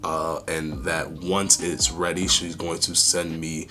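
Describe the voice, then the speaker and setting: A young man with a hoarse, raspy voice, rambling casually through a fitness vlog update with many fillers. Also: very deep voice, flat delivery, takes it slowly, pushed and bright, faint music behind. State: hoarse, losing voice. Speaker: fitness YouTuber.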